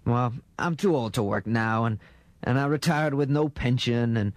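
A man doing a halting, woeful old miner. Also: medium pitch, no echo, close to an everyday voice. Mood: sorrowful, regretful. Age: older. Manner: halting, stammering, humble, folksy. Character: meek, woeful old retired coal miner. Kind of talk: comic testimony.

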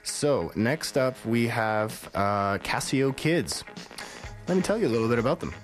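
A man's voice: mid-range voice, very animated, a little slow, faint music behind. Easygoing male radio host. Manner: easygoing, chatty, with fillers. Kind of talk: casual radio hosting.

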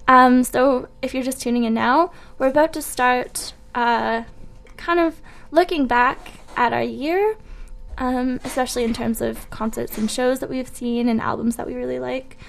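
A woman with a high voice and a noticeable creak co-hosts a radio show. She chats casually and without a script, sounding tentative as she drifts into questions. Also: fairly even intonation, moderate pace. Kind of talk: casual unscripted chat.

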